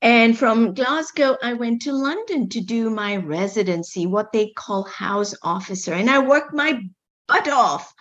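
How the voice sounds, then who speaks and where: Woman, from Kenya, middle-aged, slightly high-pitched, lively storyteller, muffled lo-fi sound. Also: unhurried. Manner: animated, repeats words, playful asides. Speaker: guest, medical doctor. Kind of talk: conversational storytelling interview.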